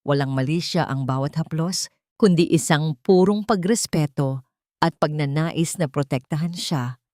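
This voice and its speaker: A woman's voice: low voice, normal speed, mellow, no echo, clean studio sound. A woman reading a dramatic story aloud as a scripted audiobook narration.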